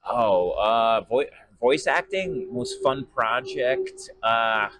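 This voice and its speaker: A man's voice: medium pitch, lively intonation, drawn-out words, noisy background. A man giving casual interview answers in a hesitant, rambling, conversational way. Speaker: sports PA announcer and voice actor being interviewed.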